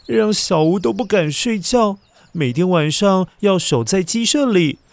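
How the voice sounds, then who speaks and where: A man's voice: high-pitched, animated, a little slow, vocal fry, dry recording. A man with a Taiwanese Mandarin accent narrating a children's story expressively and dramatically, putting questions to his young listeners.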